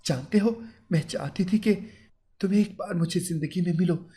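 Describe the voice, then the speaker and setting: A man's voice: high voice, moderate pace, mellow, voice pushed up, lightly acted. A man voicing a bitter, furious young bride in an audio story. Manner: emotional, accusing.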